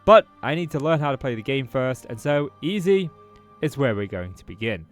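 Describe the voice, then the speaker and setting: A man's voice: medium pitch, animated, normal speed, faint music behind. A British man commentating casually on a strategy game he is playing, thinking aloud, his voice a little off after a throat infection. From Britain. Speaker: gaming YouTuber. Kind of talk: casual let's-play commentary.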